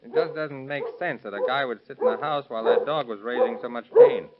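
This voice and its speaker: A man's voice: medium pitch, expressive, brisk, natural voice. An actor playing a plainspoken, puzzled lawman with a folksy, matter-of-fact manner in 1930s radio drama. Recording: muffled vintage radio with crowd-like background.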